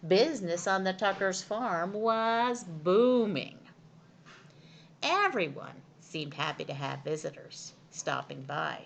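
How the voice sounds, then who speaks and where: Slow, animated woman reading a storybook. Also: fairly low pitch, creaky, a little hiss or hum. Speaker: storytime reader. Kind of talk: expressive children's storybook narration.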